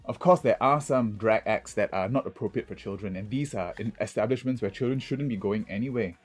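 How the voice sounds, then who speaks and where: Husky man vlogging over music. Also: fairly low pitch, brisk. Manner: chatty, punctuates points with "okay". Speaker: drag queen vlogger. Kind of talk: casual vlog commentary.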